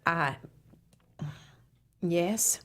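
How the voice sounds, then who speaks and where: A woman's voice: on the low side, drawn-out words, a bit bright, slight background noise. A woman reading the part of a prim, repressed, churchgoing middle-aged mother in a script table read, stiff and easily flustered.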